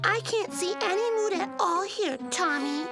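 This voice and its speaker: A woman's voice: very high voice, moderate pace. A woman voicing a small, fretful, childlike girl in a children's cartoon. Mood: sulky. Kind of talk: animated children's cartoon dubbing. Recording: loud children's music under the voice. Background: children's music.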